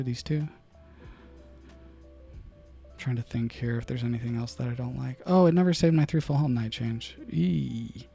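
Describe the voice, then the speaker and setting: A man with a gravelly, fry-edged voice talks his way through a card game for his chat. He muses over each choice and bursts into loud, excited exclamations when a play surprises him. Soft music plays underneath. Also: mid-range voice. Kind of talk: thinking aloud while playing, talking to chat.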